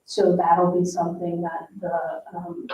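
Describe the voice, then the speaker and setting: A woman staff member explaining details at a public meeting, with a measured, halting delivery. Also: on the low side, monotone, darkish tone. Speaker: staff member briefing a board. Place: indoor town board meeting room. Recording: roomy, distant, muffled meeting-room microphone with noise.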